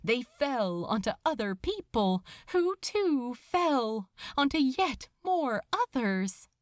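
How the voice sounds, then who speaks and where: Slow, highly expressive woman narrator. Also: no echo, studio quality. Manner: solemn, deliberate, suspenseful. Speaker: podfic narrator. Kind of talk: dramatic scripted story narration.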